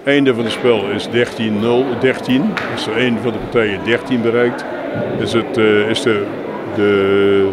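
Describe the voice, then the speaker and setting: A man speaks informally, as if explaining things to an interviewer. His delivery halts now and then, and clear background noise from a busy venue surrounds him. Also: fairly low pitch, fairly even intonation, a little slow. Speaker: jeu de boules club representative being interviewed. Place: busy sports hall, on location.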